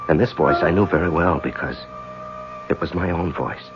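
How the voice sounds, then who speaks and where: A man's voice: sits low, normal speed, music in the background. An actor playing an earnest, troubled lawyer caring for his sick wife in a 1970s radio drama, hesitant in his speech. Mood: uneasy. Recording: dull, hissy 1970s radio broadcast.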